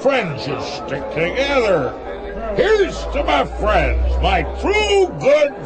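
An actor playing a drunken, bullying Old West gunslinger with blustering, drawling speech in a radio drama. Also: male voice, extremely high pitch, huge pitch swings, takes it slowly, music in the background, a crowd in the background, exaggerated voice. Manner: blustering, drawling Western talk.

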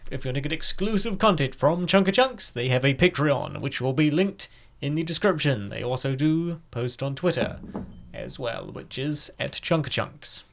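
A man's voice: mid-range voice, lively intonation, normal speed, gravelly. A man co-hosting in a casual style, introducing and commenting. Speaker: co-host and narrator.

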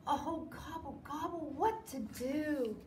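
Storybook turkey, played by a woman: fretful, wailing, high-pitched, with noisy room sound. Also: slow pace, darkish tone. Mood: anxious. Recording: roomy echo, clear background noise.

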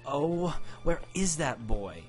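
A man's voice: sing-song, slow, faint music behind. A man voicing an anxious, faltering woman, a worried mother in a narrated story. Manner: high-pitched, faltering, fretful. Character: anxious mother, worried about her missing son.

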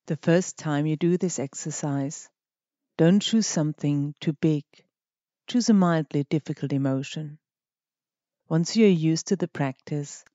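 Mellow, dry-sounding meditation guide, gentle delivery. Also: medium pitch, dry recording, highs cut off. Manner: gentle, measured, with deliberate pauses. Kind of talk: guided meditation, scripted instruction.

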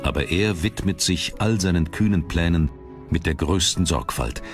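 Man, very low pitch, scripted documentary narration, measured dramatic delivery, prominent background music.

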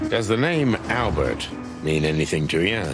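Very deep, weary noir rooster detective. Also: male voice, normal speed. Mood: deadpan. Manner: deadpan, wry, terse. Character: world-weary older rooster detective, dry and hard-boiled. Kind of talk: film noir game voice acting. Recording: music behind the voice.

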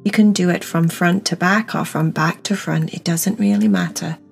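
A woman's voice: lowish voice, fairly even intonation, normal speed, vocal fry. A woman guiding a meditation in an instructional, soothing and encouraging manner, stressing words by repeating them. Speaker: meditation guide. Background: music.

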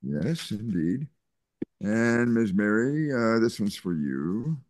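An older man voicing a kind reverend, speaking gently with hesitant fillers over a video call. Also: fairly low pitch, big pitch swings, takes it slowly, no room sound, clean studio sound. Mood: gentle. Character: kindly older male reverend. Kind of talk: Zoom play reading.

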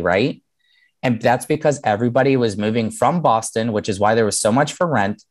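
A man in his thirties presenting an online seminar over a video call, explaining plainly in a casual way and often checking in with "right?". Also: medium pitch. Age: 30s. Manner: conversational, explanatory, with frequent "um" and "right?". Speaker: mortgage lender teaching a webinar.

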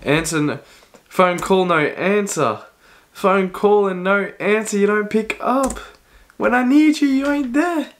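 Guy with a cold doing a song reaction, bit hoarse, fairly high voice, just chatting. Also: measured pace. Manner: chatty, talks over the song with short reactions. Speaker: reaction channel host. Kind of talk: casual music reaction chat.

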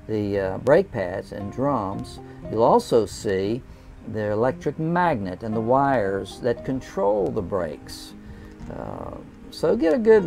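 An older man speaks in a low, gravelly voice, steady and down-to-earth as he walks viewers through trailer upkeep. He talks from inside a small camper into a lapel mic. Faint guitar music plays underneath. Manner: plain, folksy, unhurried with frequent "uh" fillers. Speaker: RV travel vlogger. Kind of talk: casual how-to talk to camera. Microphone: clip-on lapel mic.

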